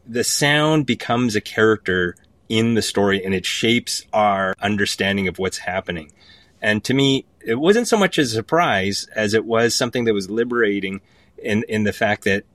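A man's voice: fairly low pitch, fairly bright tone, no echo. A man talking as an interview guest, telling anecdotes conversationally with enthusiasm and repeating words for emphasis. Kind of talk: animated conversational storytelling.

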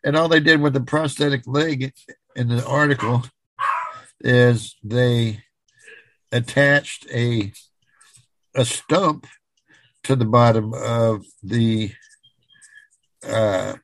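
Male podcast co-host, mid pitch, unhurried and halting, casual news chat. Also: fairly even intonation, a bit bright, poor audio. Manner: halting, searching for words.

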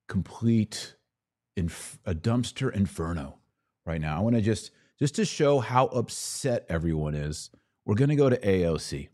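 A man's voice: low-pitched, warm tone. A man hosting a podcast, giving casual, opinionated political commentary in a conversational way with some mocking mimicry.

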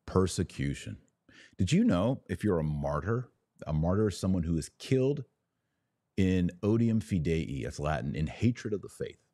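Casting: male podcast host, very low voice, close dry sound, emphatic religious commentary delivered live. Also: very animated, moderate pace, warm tone, dry recording. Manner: emphatic and preachy, with short declarative repeats.

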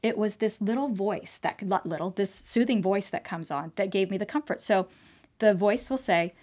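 A woman explaining technology as an interview guest, animated and talkative with frequent fillers and restarts. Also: medium pitch, normal speed. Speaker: tech expert guest. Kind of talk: casual interview chat. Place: trade show floor.

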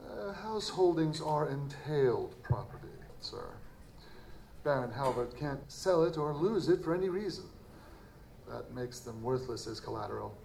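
A man's voice: mid-range voice, expressive, measured pace, gravelly, a little mellow. A man playing a courteous, cautious company phone clerk in an audio drama, explaining things hesitantly. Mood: cautious, advising. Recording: noisy live stage recording.

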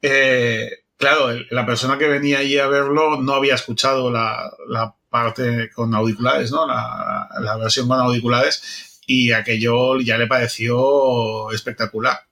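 A man's voice: mid-range voice, steady tone, measured pace, bright, forceful tone. A man with a Castilian Spanish accent from Spain, chatting casually and enthusiastically in a group, often repeating his phrases. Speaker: audio fiction project member.

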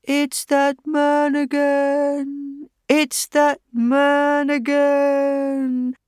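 A woman's voice: high-pitched, big pitch swings, pushed and bright, no room sound, studio quality, voice pushed up, full character voice. A woman voicing a mournful sackcloth scarecrow. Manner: slow, mournful, repeats radio catchphrases. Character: mournful sackcloth scarecrow, male, creaking, straw-haired.

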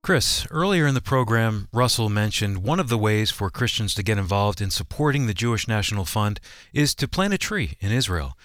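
A man's voice: fairly low pitch, very animated, normal speed, a bit bright, no room sound, clean studio sound. A man announcing and co-hosting a radio program in a scripted broadcast style. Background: music playing under the voice.

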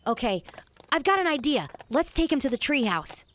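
A woman's voice: high voice, big pitch swings, moderate pace, fairly bright tone, slight background noise. A female performer playing a cautious, sensible, skeptical young boy in a children's audio drama, earnest and worried. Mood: practical.

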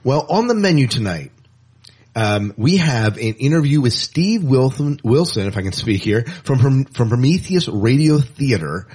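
Man, podcast co-host, husky, animated pitch, casual banter, wry asides. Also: normal speed. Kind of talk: casual show rundown and banter.

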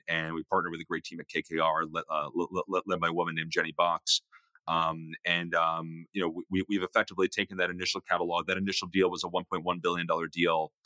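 A man with a very low voice, speaking in a casual interview with many fillers and restarts. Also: bright, forceful tone, no echo, rough recording. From New York area. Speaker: guest, music investor.

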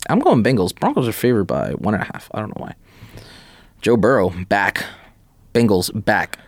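A man talking casual sports banter as a podcast guest, excitable, slangy and prone to swearing. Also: lowish voice, moderate pace, no echo, clean studio sound. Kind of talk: casual sports banter, picking game winners.

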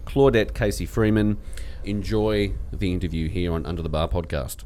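Low-voiced man hosting a podcast. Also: fairly even intonation, moderate pace, very noisy. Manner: chatty, jokey, asks long rambling questions. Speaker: podcast host. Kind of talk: casual podcast chat.

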